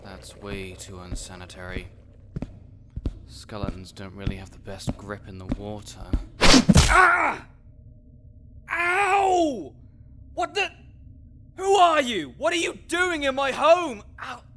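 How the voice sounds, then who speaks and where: A man's voice: very high-pitched, sing-song, slow, pauses often, background noise, exaggerated voice. Man acting a grouchy, sarcastic necromancer. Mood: sleepy, grumbling, then indignant, outraged. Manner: sarcastic, indignant, wordy. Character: grouchy male necromancer researcher, exasperated, fussy and sarcastic. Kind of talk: scripted audio roleplay addressed to the listener.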